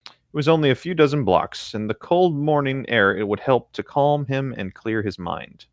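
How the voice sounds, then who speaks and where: A man's voice: medium pitch, lively intonation, moderate pace, dry recording. A man reading a story aloud casually and a little theatrically, stumbling and correcting himself along the way. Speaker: co-reader on a fan fiction riffing show. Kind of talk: casual storybook-style narration with joking asides.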